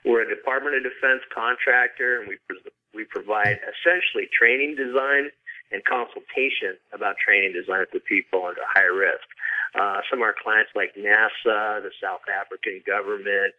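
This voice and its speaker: A man's voice: on the low side, steady tone, normal speed. A middle-aged man giving casual, rambling interview answers with frequent hesitations, speaking as a guest over a call. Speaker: podcast guest, author and consultant. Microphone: phone or remote call. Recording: narrow, tinny phone-line sound.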